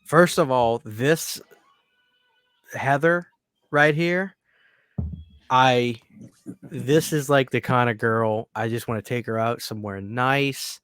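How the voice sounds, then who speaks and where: A man in his thirties co-hosting a podcast in casual chat, excitable and swearing a lot, often dropping to low mutters. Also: slow, long pauses, clean tone, dry recording, clean studio sound. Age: 30s. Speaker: podcast co-host.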